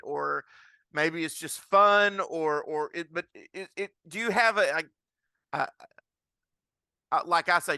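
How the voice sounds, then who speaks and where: A man hosting a casual interview, asking questions in a halting way and rephrasing them as he goes. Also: on the high side, sharp tone, smooth, dry recording. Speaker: podcast host, interviewer.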